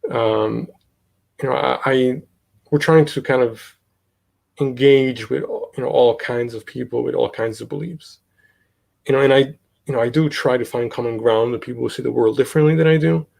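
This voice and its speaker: Young guy, kinda gravelly, lots of ums and restarts in a casual interview. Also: medium pitch, darkish tone. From Italy. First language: Italian. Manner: halting, many fillers and restarts. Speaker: guest, podcast host.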